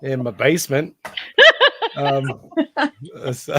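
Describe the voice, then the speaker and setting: Hoarse man hosting a casual chat. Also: mid-range voice, fairly even intonation, fairly bright tone. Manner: rambling, halting, easygoing. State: hoarse, losing his voice. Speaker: host. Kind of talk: casual online hangout chat. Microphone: computer mic on a live video call.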